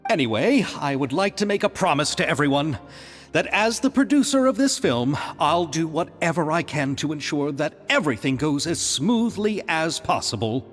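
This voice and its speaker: An earnest, courteous producer in a game. His fairly high voice moves with lively swings of pitch, polite and formal, with reverb around it. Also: normal speed, faint music behind. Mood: earnest, grateful. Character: earnest, grateful, polite male film producer. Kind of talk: video game voice acting.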